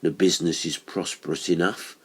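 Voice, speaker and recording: A man's voice: sits low, steady tone, brisk, slightly rough. A man reading an audiobook horror story, playing an earnest working-class stonemason with a serious, matter-of-fact manner. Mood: reflective. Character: Atkinson, earnest working-class male monumental mason.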